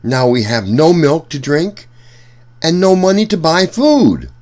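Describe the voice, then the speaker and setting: A man's voice: a little high, very animated, a little slow, darkish tone, voice pushed up. A man voicing a poor, overworked widowed mother in a children's story, pleading and scolding in exclamations. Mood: angry. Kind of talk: children's storybook read-aloud.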